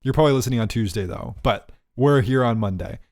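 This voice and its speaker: A man's voice: medium pitch, quick pace, a little mellow, dry recording, studio quality. A man co-hosting a podcast in casual banter, teasing his friend, laughing often and tripping over his words. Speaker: podcast co-host.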